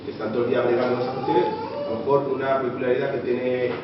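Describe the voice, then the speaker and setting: A man's voice: medium pitch, level tone, quick pace. A man organizing a public debate, introducing the speakers in a rambling way, full of little tag questions. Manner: rambling, full of "¿no?" and "o sea" tags. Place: meeting room of a local association. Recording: echoey, muffled room recording from a distant mic with background noise.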